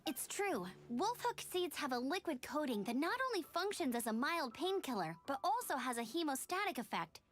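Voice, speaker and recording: A woman playing a bright, curious, bookish young adventurer in a video game, with an animated, explanatory way of talking. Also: high-pitched, normal speed, few pauses. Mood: eager, explanatory.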